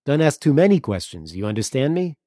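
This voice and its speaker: A man performs a world-weary Crimean local for an audiobook. He is cynical and guarded, pressing his points bluntly in a bright, forward voice with a dry sound. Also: mid-range voice, big pitch swings, a little fast, no room sound, pitched above the performer's own voice. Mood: cynical warning. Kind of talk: audiobook character dialogue.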